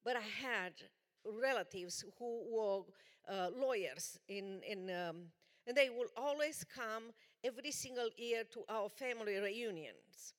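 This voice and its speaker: A woman from Romania with a Romanian accent, giving an earnest, deliberate personal testimony as a guest speaker in a church. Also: fairly high pitch. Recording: long church hall reverb.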